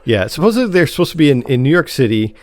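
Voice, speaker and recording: A man with an American accent talks as a podcast co-host in an easy, informal chat. His pitch is average for a man, and he tends to hesitate and restart his thoughts as he goes. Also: big pitch swings, fast talker. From Chicago, United States. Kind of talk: casual chat about TV news.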